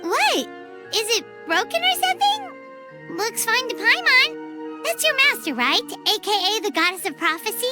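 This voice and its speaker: A very high, squeaky, childlike voice for a perky little floating fairy in a video game. The intonation bounces wildly and chirps along chattily. Music plays clearly behind it. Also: female voice, very animated, unhurried, full character voice. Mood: curious. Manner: perky, chirpy, refers to self in third person. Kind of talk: video game cutscene acting.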